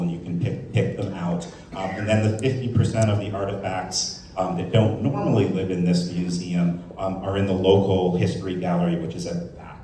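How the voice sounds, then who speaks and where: Echoey, low-voiced man giving a public speech. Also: dark tone. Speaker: museum staff speaker giving opening remarks. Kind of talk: public speech, part off-the-cuff, part scripted. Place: indoors in a museum. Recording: very echoey room, distant mic, faint noise.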